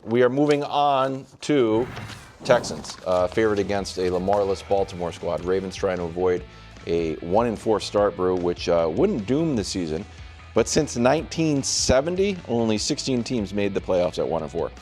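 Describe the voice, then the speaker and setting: A man hosting a sports panel show, talking in an animated sports-debate style and steering the discussion. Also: lowish voice, moderate pace, soft background music.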